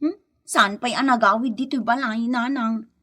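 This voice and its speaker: High, bright scolding wife played by a woman. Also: moderate pace. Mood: complaining. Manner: scolding, insistent, sharp. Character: Rona, exasperated young wife scolding her husband. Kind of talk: Ilocano radio comedy drama acting.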